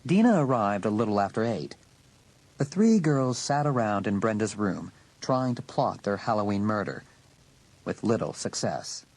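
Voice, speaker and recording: Husky male story narrator. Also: on the low side, expressive, moderate pace, darkish tone, poor audio. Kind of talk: scripted audiobook narration.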